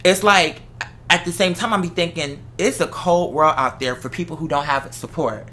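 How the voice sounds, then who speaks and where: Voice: medium pitch, normal speed, bright, forceful tone, slight background noise. A talk show host speaking casually and with strong opinions, repeating phrases for emphasis.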